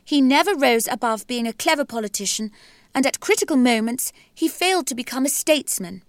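An actress giving a slow, cutting, deliberate dramatic reading of a quotation. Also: female voice, high voice, lively intonation, no room sound, studio quality.

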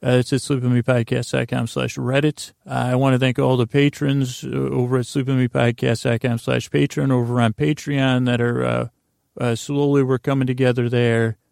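A man hosting a podcast, talking in a meandering, halting way and drifting into a slow, lulling bedtime monologue. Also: medium pitch, little pitch movement, vocal fry, darkish tone, dry recording, studio quality. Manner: meandering, halting, with restarts and filler words; slow drowsy lulling delivery. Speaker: podcast host.

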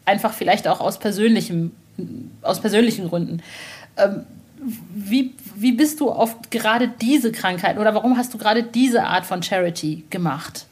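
A woman's voice: medium pitch, normal speed, darkish tone, slightly rough. A woman hosting a podcast interview, talking in a casual, personal way.

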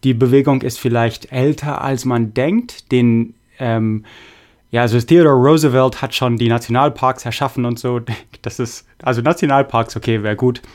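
A young man hosting a podcast, reading listener messages in a relaxed, halting way with many fillers. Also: medium pitch. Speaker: podcast host. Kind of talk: casual podcast chat reading listener mail.

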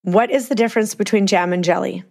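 A Canadian woman with a raspy voice and a Canadian accent, telling candid stories as a podcast guest, blunt and swearing freely. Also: mid-range voice, quick pace, darkish tone. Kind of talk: candid storytelling.

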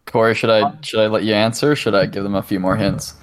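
A man's voice: fairly low pitch, little pitch movement, fast talker. A man co-hosting a podcast, reading out trivia hints in an easygoing way and laughing often. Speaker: podcast co-host, quiz giver. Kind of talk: casual chat.

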